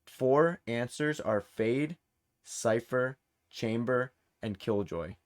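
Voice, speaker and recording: A man's voice: mid-range voice, lively intonation, slow pace. A man hosting a quiz in a casual, informal and encouraging way. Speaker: quiz host. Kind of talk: casual game-show hosting.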